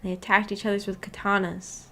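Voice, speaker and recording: A woman's voice: mid-range voice, level tone, a little fast, sharp tone. A woman hosting a book club livestream, chatting casually in a giggly, rambling way and often questioning herself. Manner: giggly, laughs often while talking; rambling, self-questioning, puzzled. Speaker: streamer hosting a visual novel book club. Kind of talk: casual livestream chat reacting to a story.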